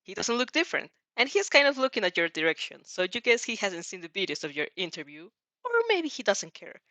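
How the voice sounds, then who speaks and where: A woman's voice: medium pitch, very animated, brisk, pushed and bright, no echo. A woman narrating fan fiction expressively as an amateur reader, sometimes stumbling over words.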